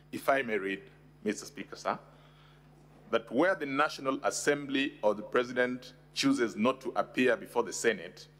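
A man's voice: on the low side, fairly bright tone. A middle-aged man with a Kenyan English accent making a formal, deferential legal submission to the Speaker at a desk microphone. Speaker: counsel. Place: large parliamentary chamber. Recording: long hall reverb.

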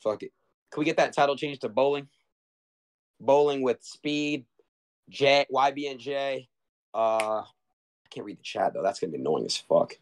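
A man's voice: medium pitch, animated, moderate pace, long pauses. Young guy streaming off his phone in his bedroom, restless, cursing, talking to chat. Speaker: livestreamer. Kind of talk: casual livestream chat. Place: bedroom in a house.

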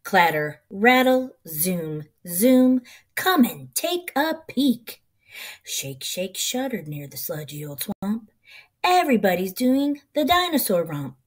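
A middle-aged woman reading a children's picture book aloud in an expressive, rhythmic, sing-song way. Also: mid-range voice, takes it slowly.

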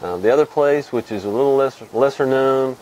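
A man in his fifties from Texas hosting a casual weekly fly fishing report to camera, relaxed and rambling with many pauses, on a lapel mic outdoors. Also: medium pitch, slight background noise, poor audio. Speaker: fly fishing show host. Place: outdoors under a shed with corrugated metal wall.

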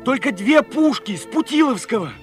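An actor playing a young Red Army soldier in a Soviet radio play, earnest, bewildered and halting. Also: male voice, extremely high pitch, animated. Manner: halting, wondering, dramatic. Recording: vintage radio play over loud music.

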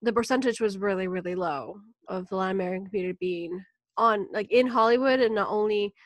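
Woman, podcast host, chatty, casual interview, filler-heavy, mid pitch, dry close sound. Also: fast, no room sound.

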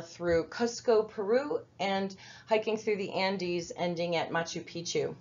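A middle-aged woman from the United States giving a conversational training presentation over slides, with many fillers and restarts. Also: lowish voice. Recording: dull lo-fi webinar audio.

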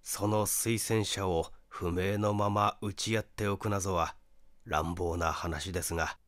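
Guy doing a serious regretful brother in a mystery story, low, halting and measured, really dry audio. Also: fairly even intonation, fairly bright tone, no echo. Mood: grave, regretful. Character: grave, regretful brother recounting a family mystery. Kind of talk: dramatic story reading.

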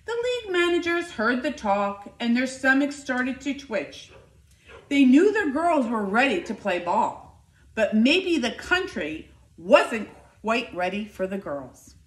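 A woman in her fifties reading a children's picture book aloud indoors, with expressive, deliberate, emphatic delivery. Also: fairly high pitch. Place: living room by a fireplace, indoors. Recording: roomy, echoing indoor sound.

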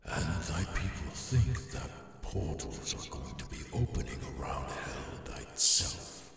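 A man playing an ancient, courtly demon overlord in an ASMR roleplay, with slow, formal archaic speech and a deep, gravelly voice. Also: sing-song, over music, exaggerated voice. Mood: ominous, intrigued. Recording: distant, heavily echoing hall reverb.

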